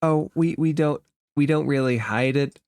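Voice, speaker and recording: A man's voice: on the high side, normal speed, a little mellow, clean tone, no room sound, clean studio sound, lightly acted. A man playing a small, friendly space hamster in a tabletop game, talking in a casual, hesitant, plain-spoken way. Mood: casual, hopeful.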